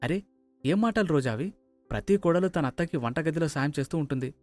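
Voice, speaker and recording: A man playing a mild young husband, reasoning and gently reproachful, in an animated moral story. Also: a little high, quick pace, no echo, faint music behind, close to an everyday voice.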